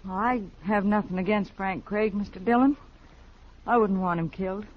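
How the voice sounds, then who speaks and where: A woman's voice: mid-range voice, normal speed, slight background noise, lightly acted. Actress as an anxious young frontier woman, muffled. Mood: anxious, polite. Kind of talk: 1950s radio acting. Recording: muffled old radio recording.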